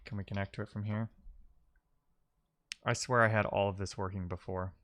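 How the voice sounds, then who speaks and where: Low, smooth-voiced man thinking aloud. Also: flat delivery, moderate pace, fairly bright tone. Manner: muttering to himself while troubleshooting. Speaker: live coding streamer. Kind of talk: casual thinking-aloud tech commentary.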